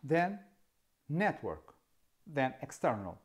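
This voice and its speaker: A man in his fifties with a Portuguese accent, a native Portuguese speaker, explaining camera gear in a dry, sarcastic way, seated in a dark studio. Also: on the high side, very animated, slow pace, slight background noise. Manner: wry, sarcastic, asks himself questions. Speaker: camera video host. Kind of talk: casual tech explainer.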